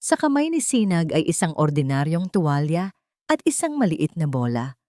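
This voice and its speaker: Low-pitched woman narrating dramatically. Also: very animated, warm tone, dry recording, studio quality. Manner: measured, dramatic storytelling. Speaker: narrator of a story channel. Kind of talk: dramatic scripted story narration.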